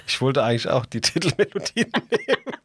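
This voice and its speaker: A man's voice: mid-range voice, sing-song, fairly quick, slight background noise. A man co-hosting a podcast in casual, jokey, easygoing chat. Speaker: podcast co-host.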